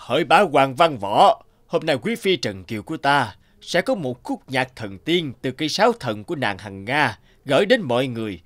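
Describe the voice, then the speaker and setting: A man's voice: medium pitch, big pitch swings, moderate pace, hardly any pauses, fairly bright tone, no room sound. A man playing a pompous, emotional old emperor in a fairy-tale cartoon, declaiming theatrically. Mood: grand, proud. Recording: music under the voice.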